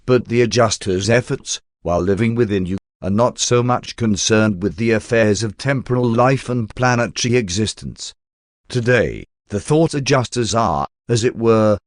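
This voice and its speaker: A man's voice: on the low side, no echo. Man formally narrating, dry close sound. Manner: formal, measured, carefully enunciated. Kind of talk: scripted audiobook-style reading of a religious text.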